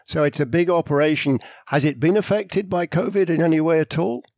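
A man interviewing a guest, asking brisk, conversational questions. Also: fairly high pitch, gravelly, a little mellow, no echo, lo-fi sound.

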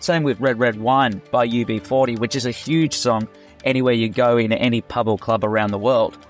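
A man's voice: medium pitch, fairly even intonation, a little fast, faint music behind. Chatty man, self-deprecating. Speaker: tribute performer and former music teacher. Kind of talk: casual interview answers.

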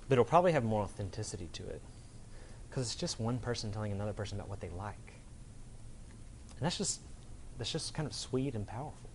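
A man preaching as a pastor in an informal, conversational sermon style, talking colloquially and often checking in with his listeners. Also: mid-range voice. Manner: casual, colloquial, checks in with "right?".

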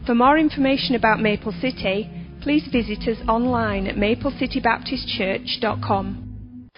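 A woman's voice: a little high, normal speed. A woman reading a scripted introduction to a sermon series. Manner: even, read announcement. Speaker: announcer. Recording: muffled recording with music underneath.

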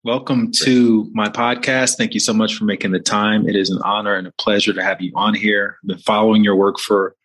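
A man's voice: on the low side, little pitch movement, a little fast, hardly any pauses, fairly bright tone. A man hosting a podcast, introducing his guest with enthusiasm and then interviewing him. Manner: enthusiastic, upbeat. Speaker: podcast host.

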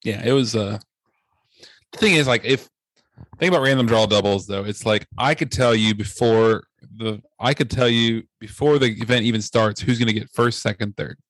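A man's voice: lowish voice, normal speed, fairly bright tone, dry recording, low-quality recording. A man co-hosting a podcast, telling a story casually with a rambling, hesitant delivery. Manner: rambling, hesitant, many fillers and restarts. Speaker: podcast co-host.

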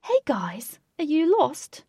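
A woman's voice: high voice, very animated, slow, warm tone, no room sound, clean studio sound, pitched above the performer's own voice, heavily acted. A woman voicing a brash, boastful young British man with a posh accent and gushing manner in an audiobook. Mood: jovial, amused. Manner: gushing, exclamatory. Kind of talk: audiobook character voice.

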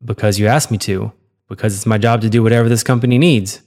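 A man's voice: fairly low pitch, steady tone, fast, darkish tone, natural voice. A man voicing an earnest, loyal middle-aged office worker in a read-aloud story. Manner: earnest, pleading.

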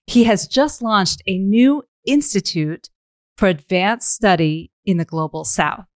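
A woman hosting a podcast, reading a polished introduction and then asking interview questions in a warm, enthusiastic way with slow, deliberate phrasing. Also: medium pitch, no echo. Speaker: podcast host. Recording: dry voice with faint music behind.

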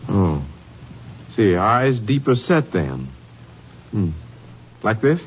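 An American actor playing an earnest, bereaved grown son in a 1950s radio drama, hesitant and polite. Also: male voice, lowish voice, big pitch swings, slow pace, a little hiss or hum. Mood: subdued, helpful. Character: earnest, bereaved adult son, commercial artist. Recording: muffled old 1950s radio recording.

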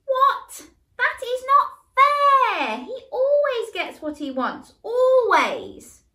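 A woman's voice: high-pitched, sing-song, unhurried, a bit bright, pitched above the performer's own voice, exaggerated voice. A woman voicing an exasperated older brother who protests sternly and indignantly, in an echoey room. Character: exasperated stubborn older boy.

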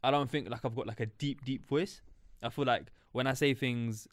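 A man, talking things through reflectively in a relaxed chat. He rambles, restarts and leans on fillers as he goes. His voice sits at a middling pitch, recorded close and dry. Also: moderate pace, no room sound. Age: 20s. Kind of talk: casual chat.

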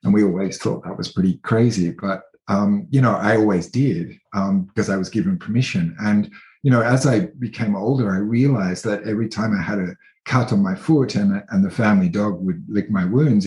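A man's voice: on the low side, moderate pace, a little mellow, echoey room. A man in his thirties from country New South Wales with an Australian accent, speaking as an interview guest in a thoughtful, hesitant way with many fillers and restarts. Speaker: interview guest, artist and researcher. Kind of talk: reflective conversational interview.

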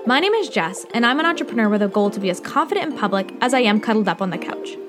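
A woman's voice: a little high, big pitch swings, racing. A woman host reading an upbeat, motivational podcast introduction. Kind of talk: scripted show intro. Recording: intro with music behind. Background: music.

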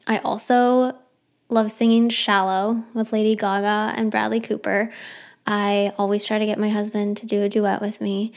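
A woman's voice: mid-range voice, flat delivery, normal speed. A young adult woman hosting her own podcast, chatting casually and rambling through listener questions with a self-deprecating touch. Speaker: podcast host.

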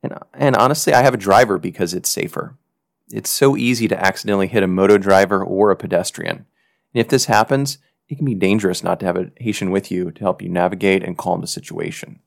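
Guy reading his podcast story, low and kinda gravelly, dry close sound, faint music under it. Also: dry recording. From United States. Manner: earnest, reflective, measured delivery. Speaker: podcast host. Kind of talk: scripted storytelling narration.

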